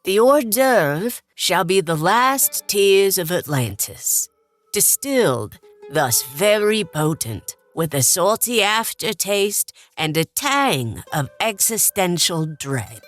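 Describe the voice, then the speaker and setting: A woman playing a waitress who serves uncanny meals in an audiobook, with a deliberate, theatrical delivery. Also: lowish voice, very animated, faint music behind. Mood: calm, ceremonious. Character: unhurried waitress serving uncanny supernatural meals. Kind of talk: audiobook character acting.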